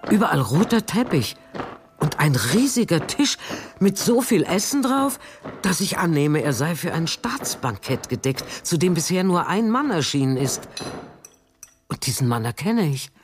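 An actress playing a starved, subdued woman prisoner in a radio drama, speaking in a halting, deliberate way. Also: low voice, big pitch swings, music in the background. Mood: awed, recounting. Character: starved, subdued female prisoner back from Siberian camp. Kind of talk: radio drama acting and first-person narration.

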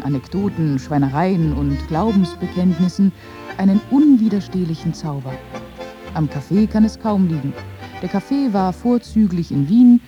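A woman's voice: lowish voice, warm tone, muffled recording. A woman giving a scripted reading of literary texts. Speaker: reader of quoted texts.

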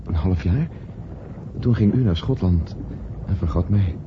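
Low-voiced, earnest gentleman. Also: lively intonation, measured pace. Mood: tender, flustered. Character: earnest, guilt-ridden gentleman in love, uneasy traveller. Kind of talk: Dutch radio play acting. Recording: dull, noisy old radio play recording.

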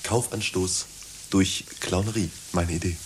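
A humourless, self-important executive, speaking in a very deep voice. He halts and repeats himself awkwardly in short bursts, as in a radio satire, on a hissy recording. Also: steady tone, a little slow. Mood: self-satisfied. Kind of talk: satirical radio play acting.

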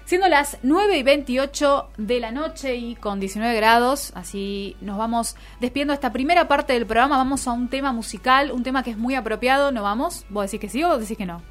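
A woman's voice: on the high side, brisk, hardly any pauses, soft background music. A woman with an Argentine accent hosting a radio programme in a conversational style. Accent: Argentine Spanish. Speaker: radio host. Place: radio studio.

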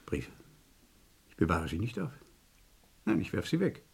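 Weary radio-play suspect, deep husky voice, swooping intonation, polite, hesitant, evasive. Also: male voice, huge pitch swings, moderate pace, heavily acted. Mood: guarded, defensive. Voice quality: husky, gravelly. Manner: hesitant, polite, repeats words. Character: weary, indebted Englishman under police suspicion, politely evasive. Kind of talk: German radio crime play acting.

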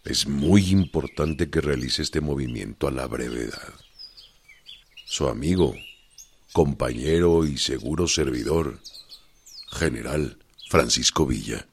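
An authoritative revolutionary general with an extremely deep voice, dictating formally in a radio drama. Faint outdoor noise and birdsong sit behind him. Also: male voice, slow, long pauses, full character voice. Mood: commanding. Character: commanding revolutionary general dictating battle orders. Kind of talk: dramatic letter reading in Spanish-language radio drama.

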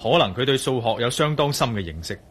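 A man's voice: medium pitch, expressive, fast, close to an everyday voice. Man acting brooding Cantonese adventurer, noisy radio drama. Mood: grave, insistent. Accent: Hong Kong Cantonese. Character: determined male adventurer, brooding and matter-of-fact.

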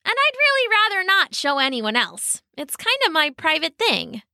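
A woman voicing a shy, polite female tortoise for a children's story, gentle but firm. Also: very high voice, wildly swooping pitch, moderate pace, no echo, studio quality, voice pushed up, exaggerated voice. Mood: politely firm.

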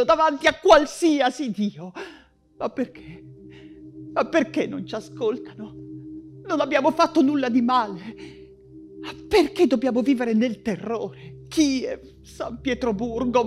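An actress playing an anguished woman writer and mother fleeing persecution in a wartime stage monologue, theatrical and halting, with anxious repetitions. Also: on the high side. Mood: indignant, then desperate, defiant.

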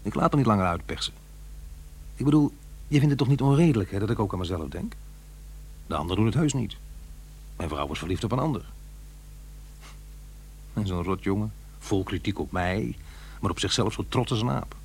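A man's voice: fairly low pitch, lively intonation, fairly quick, long pauses, slight background noise. An actor playing an aggrieved middle-aged divorced father in a radio play, muttering and questioning himself. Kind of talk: naturalistic radio play acting.

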